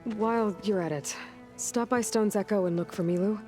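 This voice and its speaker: An earnest young woman hunter from a game cutscene, played by an actress, speaking at a medium pitch. The delivery is naturalistic and low-key, determined yet weighed down. Music plays clearly underneath. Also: normal speed, mellow, close to an everyday voice. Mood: guarded, determined.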